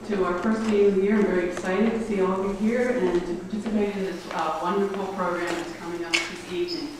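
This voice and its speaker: A woman's voice: on the low side, fairly even intonation, fast. A woman directing a society, welcoming an audience and introducing the evening's speaker, with frequent hesitations and fillers. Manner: reads a biography with hesitations and fillers. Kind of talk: formal introduction. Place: meeting room before an audience. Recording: echoey room, mic far off, background hiss.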